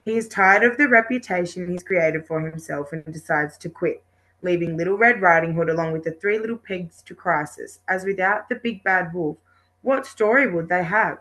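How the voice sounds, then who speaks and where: A woman's voice: fairly low pitch, normal speed, fairly bright tone, rough recording. A woman student presenter reading out a rehearsed talk from a script, carefully, with the occasional stumble or repeat.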